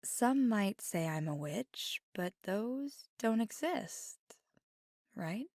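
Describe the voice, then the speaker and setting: A woman voicing a playful, mischievous, kindly witch in an intimate, unhurried ASMR monologue that slips into near-whispers. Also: mid-range voice, animated, darkish tone, no room sound, clean studio sound. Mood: playful, sly. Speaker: ASMR audio performer.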